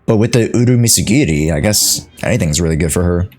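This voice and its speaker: A young man giving casual live gameplay commentary as a streamer, playful, slangy and quick to react, often talking to himself. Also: sits low, animated, darkish tone. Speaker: game streamer. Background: faint game music.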